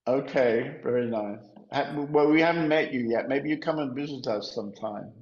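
Older man, echoey dull video call. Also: medium pitch. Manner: measured, gently halting, explaining. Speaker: spiritual teacher. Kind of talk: informal teaching and chat. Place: room at home, indoors. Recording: echoey room, dull lo-fi sound.

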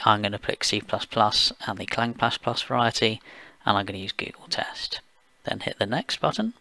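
A man from the UK talking through a software screencast step by step, plain and explanatory, with occasional fillers. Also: mid-range voice, creaky, no echo. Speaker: software engineer giving a tutorial. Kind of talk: screencast walkthrough voice-over.

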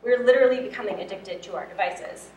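A woman's voice: mid-range voice, fast, a little mellow, distant mic. A young woman delivers a prepared talk as a student presenter. She is in a lecture room, picked up from afar with noticeable echo and a little background noise. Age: young adult.

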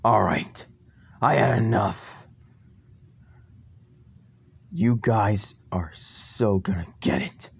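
A man plays a determined young hero in a fan-made zombie action story. The voice is fairly low and very slow. The lines come in halting, dramatic chunks, as a vow to fight evil. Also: vocal fry, breathy edge, heavily acted. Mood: stern, defiant. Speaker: amateur voice actor.

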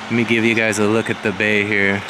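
Loud outdoor noise and wind surround a man in his forties. He speaks in a fairly low voice, casually narrating a walk for his vlog on a handheld camera. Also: level tone, brisk. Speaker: vlogger. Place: outdoors along a waterfront. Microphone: handheld camera microphone. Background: loud wind and outdoor ambience.

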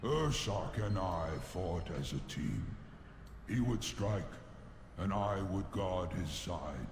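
An actor playing a huge ancient bear spirit in a game, grave and commanding, with an echoing effect. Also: male voice, low-pitched, animated, takes it slowly, background noise, exaggerated voice. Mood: grave, remembering. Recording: echoey reverb, loud game ambience behind.

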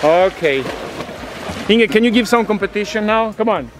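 A man's voice: high voice, animated, moderate pace. A middle-aged man, coaching swimmers outdoors with teasing, upbeat commentary. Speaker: swim coach. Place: outdoor training area by a pool. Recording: outdoor with music behind.

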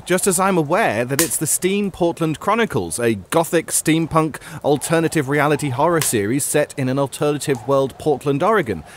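An actor playing a knowing, slightly fussy time-travelling show host in a scripted comic audio drama. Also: male voice, a little high, normal speed, few pauses, slight background noise, close to an everyday voice. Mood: knowing, ominous.

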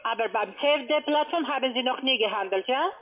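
A woman with a foreign, non-native German accent giving a halting telephone sales pitch, repeating and rephrasing as she explains. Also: husky. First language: not German. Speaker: call-centre sales agent pitching trading. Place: phone call. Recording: tinny, narrow-band phone line.

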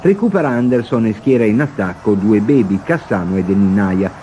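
Low-voiced male football reporter, noisy broadcast. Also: expressive, fairly quick, mellow. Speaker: sports reporter. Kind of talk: scripted football match report narration.

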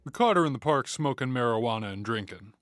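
An actor playing a stern police officer in an audio drama, speaking in a formal, official manner. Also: male voice, medium pitch, expressive, quick pace, a bit bright, no room sound, clean studio sound, faint music behind, natural voice.

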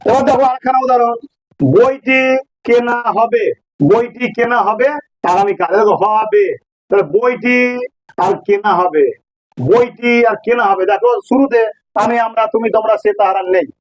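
High-pitched Bengali male teacher. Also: normal speed, no room sound, rough recording. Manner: didactic, repeats phrases for emphasis. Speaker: English grammar teacher. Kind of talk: informal grammar lesson, explaining with examples.

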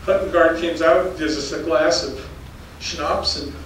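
A man's voice: on the high side, steady tone, a little slow, a bit bright. Older man lecturing in an echoey hall. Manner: folksy, anecdotal, chuckles between stories. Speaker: geology professor giving a public lecture. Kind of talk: storytelling slide talk. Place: darkened lecture hall with audience. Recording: very echoey hall, distant mic, faint noise.

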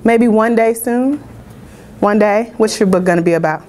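A woman's voice: a little high, normal speed, a little mellow, slight background noise. A middle-aged woman from Georgia, giving an upbeat, interactive motivational talk in an indoor room. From Georgia, United States. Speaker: motivational speaker, business coach. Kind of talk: informal motivational talk to a small audience.

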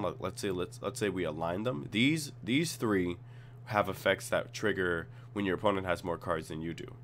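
A man with a cold and a slightly raspy voice, casually reading card text aloud and reacting as he reviews cards at his computer. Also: deep voice, a little hiss or hum. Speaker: card game tutorial host.

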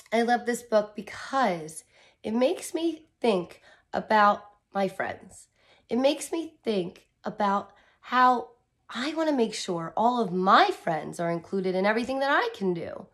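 A woman in her thirties reads a storybook to young children at a slow, deliberate pace. Her voice sits at a middle pitch for a woman. She speaks in simple phrases with expressive wonder, adding her own comments as she goes. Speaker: teacher reading aloud to children. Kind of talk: picture-book read-aloud with commentary for young children. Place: small indoor room, curtained corner.

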